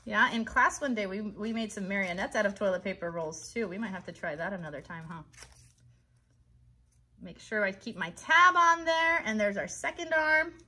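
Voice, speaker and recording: A woman's voice: mid-range voice, normal speed, sharp tone. An American woman in her thirties hosting a live craft tutorial for children, chatty and encouraging as she talks directly to them. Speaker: children's craft host and puppeteer. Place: child's bedroom set indoors.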